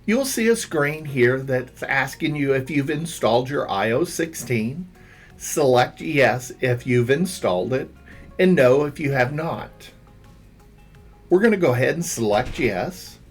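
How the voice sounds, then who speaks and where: A man reading a scripted instructional narration as a tutorial narrator, guiding the listener step by step. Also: on the high side. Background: background music.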